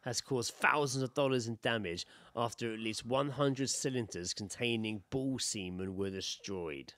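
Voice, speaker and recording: A British man with a medium-pitched voice talks as a podcast co-host. He is casual and laddish, blunt and quick to swear, moving between banter and reading stories aloud. The sound is very dry. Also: fairly bright tone, dry recording.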